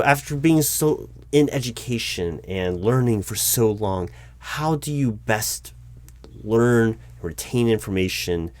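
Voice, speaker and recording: A man playing a patient, probing hiring manager in a one-sided interview roleplay, speaking aloud in a halting, improvised way and repeating words. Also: medium pitch, darkish tone, dry recording, natural voice. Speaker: job interviewer. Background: computer keyboard typing.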